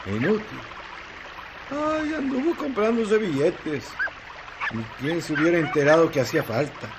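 A man's voice: fairly high pitch, sing-song, a little slow, background noise. An actor playing an exasperated Mexican working man who mutters to himself colloquially in a radio drama. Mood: brooding, exasperated.

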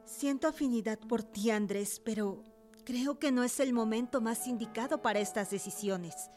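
A woman's voice: on the high side, normal speed, darkish tone, lightly acted. An actress playing a resolute young patriot woman devoted to justice, in a radio drama. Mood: firm. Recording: music under the voice.

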